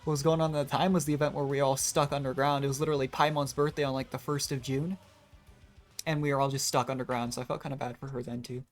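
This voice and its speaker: A man's voice: medium pitch, level tone, fast. A young man giving casual, rambling live commentary as a game streamer. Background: game music.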